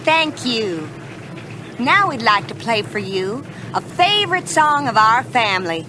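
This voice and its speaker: A woman's voice: a little high, very animated, measured pace, noisy background. A woman playing a folksy country fair performer with a Southern US accent, announcing on live radio in a scripted drama. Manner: folksy, presentational.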